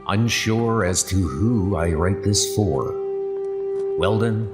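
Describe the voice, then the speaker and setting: A man's voice: lowish voice, takes it slowly. A man voicing a young British midshipman narrating his wartime diary in a subdued, introspective way. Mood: reflective. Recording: voice over loud background music.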